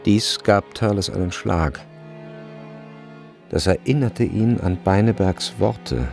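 An actor as the grave narrator of a literary radio play, speaking in a measured way. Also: male voice, lowish voice, vocal fry, darkish tone, soft background music.

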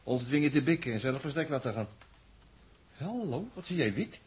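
A man's voice: mid-range voice, expressive, normal speed, slightly rough. An actor playing a blunt, wisecracking spaceship crewman in a 1960s Dutch radio drama, with a colloquial, jaunty manner. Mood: jaunty, dry.

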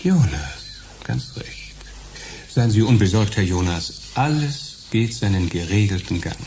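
Creaky, oily old undertaker. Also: male voice, fairly low pitch, animated, measured pace, a little mellow, slight background noise. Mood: smoothly solicitous. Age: older. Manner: oily, formal, pompous salesman. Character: unctuous elderly male undertaker doctor with hushed professional sympathy. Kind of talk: radio drama acting.

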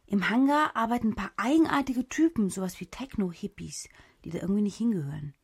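An actress playing a brash, sharp-tongued special agent in a cyber thriller radio drama, husky and creaky, with a sarcastic, commanding manner. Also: female voice, medium pitch, quick pace. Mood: matter-of-fact. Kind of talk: German radio drama acting.

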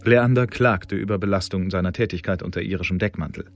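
An actor playing a sober courier who gives a detached, formal intelligence report in a radio drama. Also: male voice, low-pitched, quick pace. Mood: sober, critical. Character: sober male courier reporting critically on an agent.

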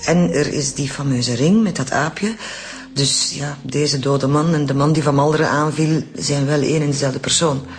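An actor playing a talkative, precise Flemish forensic pathologist in a radio crime drama. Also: male voice, a little high, steady tone, normal speed, a little hiss or hum, lightly acted. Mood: explanatory. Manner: chatty, explanatory.

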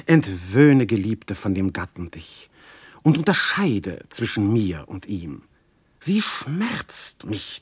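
A proud, amorous god in a verse radio play. His voice is husky and swings widely from rapture to breathy softness, slow and savoring, very close and dry. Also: mid-range voice, no room sound, full character voice. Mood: ardent pleading. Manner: theatrical, rapturous, dropping to breathy whispers. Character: amorous proud god posing as a woman's husband. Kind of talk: classical verse radio drama acting.

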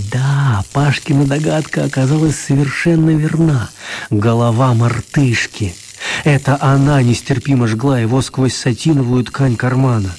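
A man narrating a children's radio play as scripted, expressive storytelling. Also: medium pitch, a little hiss or hum.

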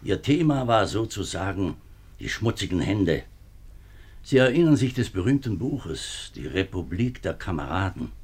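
Husky, low-voiced embattled government minister. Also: male voice, very animated, normal speed, darkish tone, exaggerated voice. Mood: earnest, indignant. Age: middle-aged. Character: embattled, upright French government minister and father. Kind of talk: 1950s German radio drama acting. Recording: 1950s radio play recording.

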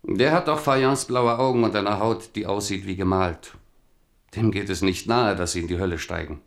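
An actor playing a loyal, devout and aggrieved soldier-poet in a German radio drama, declaiming formally. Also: male voice, lowish voice, moderate pace, natural voice. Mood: indignant.

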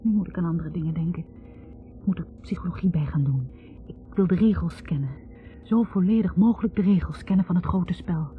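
An actress playing a wounded, bitter young academic woman confessing in a halting, accusing way in a 1960s radio drama. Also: on the low side, close to an everyday voice. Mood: defiant, resolute. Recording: tinny narrow-band 1960s radio recording.

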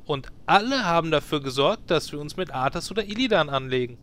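A man's voice: on the high side, expressive, fairly quick, a bit bright. A man playing an earnest hero who reasons insistently in a fantasy parody audio drama. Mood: urgent.

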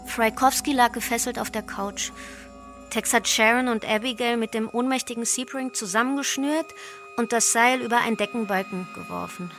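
An actress playing a young cult accomplice who plainly recounts a killing in a radio play. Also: female voice, a little high, moderate pace, fairly bright tone, faint music behind. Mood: factual. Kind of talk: radio play monologue in testimony form.

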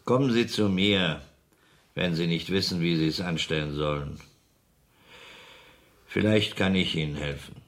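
An actor playing a smug, menacing gangster boss in a German radio crime drama, coolly mocking and commanding. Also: male voice, low-pitched, measured pace, long pauses. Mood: coldly menacing.

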